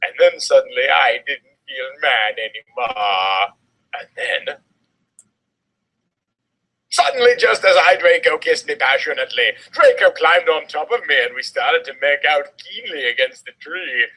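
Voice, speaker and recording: A man's voice: a little high, animated, measured pace, bright, forceful tone, rough recording. A man giving a comic dramatic reading of fan fiction in a theatrical, exaggerated manner.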